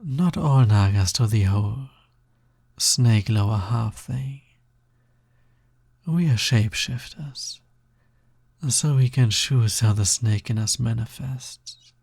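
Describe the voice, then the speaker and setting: A man acting as a smug, touch-starved naga demon in an intimate audio roleplay. The voice is mellow and breathy with a gravelly creak at the edges. He drawls softly, teasing and coaxing the listener. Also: mid-range voice, takes it slowly, long pauses, no room sound, clean studio sound, heavily acted. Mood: flirty, teasing. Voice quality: breathy, soft, slightly gravelly.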